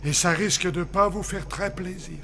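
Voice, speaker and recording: A man's voice: sits high, normal speed, noisy background. A man voicing a meek, apologetic, hammy kitchen underling in a French children's cartoon. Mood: sheepish.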